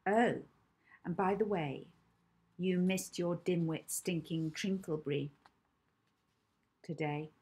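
A spiteful storybook schoolgirl bully, as a woman reading aloud puts her on. She jeers and mocks in a raised, sharp voice with a breathy edge. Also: on the low side, slow, long pauses. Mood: sneering. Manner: loud, jeering, mocking.

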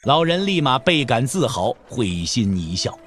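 A man's voice: mid-range voice, very animated, unhurried, fairly bright tone, slight background noise. A man narrating a dramatic audio drama as a scripted storyteller. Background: background music.

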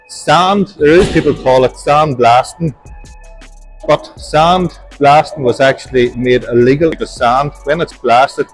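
A man's voice: mid-range voice, low-quality recording. A middle-aged man, explaining a large industrial workshop step by step as he walks through it. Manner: plain, practical, explaining step by step. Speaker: workshop manager giving a tour. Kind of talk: walking tour explanation.

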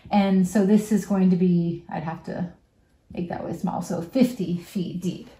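A woman's voice: medium pitch, darkish tone. Middle-aged woman teaching at a whiteboard. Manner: explains step by step while drawing, with frequent fillers. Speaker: geology teacher. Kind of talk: whiteboard lesson. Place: small room at a whiteboard. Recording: echoey room with faint noise.

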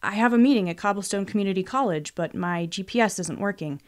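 A polite young gentleman played by a woman narrator in a storybook. He is friendly and appreciative, a courteous stranger. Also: medium pitch, fast, close to an everyday voice. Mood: apologetic.

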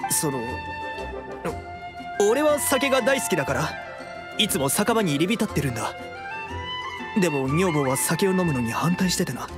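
A man's voice: on the high side, sing-song, moderate pace, long pauses. A man voicing a sheepish, apologetic tavern-loving husband in an anime game, hesitant in his delivery. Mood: weary, hesitant. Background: music.

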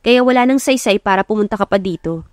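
A woman's voice: mid-range voice, fast, dry recording, clean studio sound, lightly acted. A woman voicing an angry, defiant young woman hurt by a cheating boyfriend, emphatic and accusing, in an audiobook romance drama.

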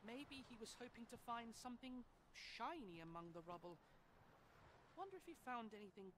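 An actress playing an eager young villager in a fantasy game, expressive and emphatic. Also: female voice, a little high, moderate pace, a little hiss or hum, close to an everyday voice. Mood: gossipy. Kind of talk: animated fantasy game acting.

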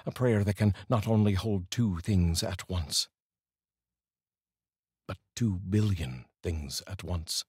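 A middle-aged man with a deep, heavily husky voice. He speaks earnestly and deliberately, in the measured manner of someone reading poetry to his viewers. The sound is dry and close, from a studio microphone in a small room. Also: darkish tone, no room sound. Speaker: host and voice-over reader. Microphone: large studio microphone, up close.

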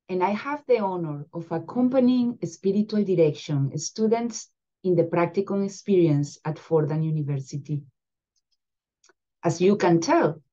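Middle-aged woman, Venezuelan Spanish accent, native Spanish speaker; mellow tone, careful, steady delivery; webcam sound, a bit muffled. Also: lowish voice. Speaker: spiritual direction supervisor. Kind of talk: prepared introduction to a program. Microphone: video call webcam.

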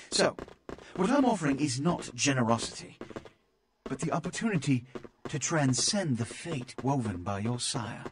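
An actor playing a pompous, cold aristocratic vampire ruler in a video game, formal, measured and condescending. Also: male voice, mid-range voice, a little hiss or hum, natural voice. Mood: stern, formal. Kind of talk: video game cutscene monologue.

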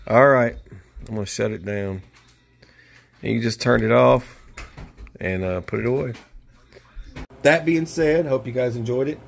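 A man's voice: medium pitch, a little slow, gravelly, long pauses. An American man hosting a casual product demo, talking in a folksy, informal, rambling way. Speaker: host of a prepper gear channel. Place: small cluttered indoor room.

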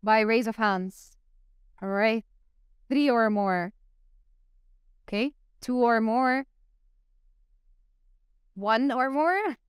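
A young woman moderating a live panel on stage with a handheld mic, engaging the audience with quick polls. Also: a little high, smooth, no room sound, studio quality. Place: stage in a large event hall.

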